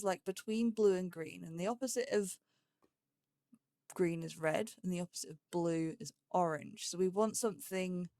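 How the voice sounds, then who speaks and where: A woman's voice: lowish voice, dry recording. A woman giving casual gameplay commentary, chatting and thinking aloud to herself as she goes. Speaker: host of a gaming let's play series.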